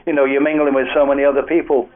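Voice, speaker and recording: A man's voice: mid-range voice, level tone, rapid-fire. An older man with a Liverpool accent, a guest telling rambling music-industry stories in a casual radio interview. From Liverpool, England. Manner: rambling, anecdotal, many fillers. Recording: tinny phone-like radio line.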